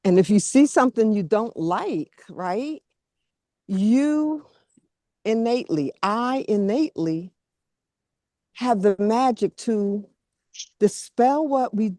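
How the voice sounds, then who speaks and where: A woman with a mellow voice talks slowly in short, earnest phrases, often pausing mid-thought. Her pitch moves in a lively way through a casual, reflective chat. The sound is close and dry. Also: dry recording.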